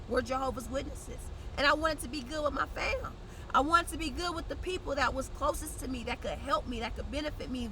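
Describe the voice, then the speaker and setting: A young woman talks straight to her viewers in a loose, slangy, blunt way. Her high, bright voice comes through congested and nasal, and there is a faint hiss of noise behind her. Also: low-quality recording. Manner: blunt, conversational, uses slang. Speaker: vlogger. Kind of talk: casual direct-to-camera chat.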